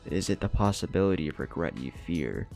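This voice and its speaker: Man as a deep, wise old sage. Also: very animated, vocal fry, darkish tone, soft background music, full character voice. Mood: calm, wise. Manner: measured, philosophical. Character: ancient gray-haired male sage, calm, wise, teasing.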